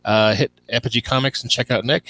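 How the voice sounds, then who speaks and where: A man's voice: mid-range voice, fairly quick, no echo, poor audio. Male podcast host, laid-back and hesitant, mid voice, dry close recording. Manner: relaxed, hesitant with fillers. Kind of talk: casual chat.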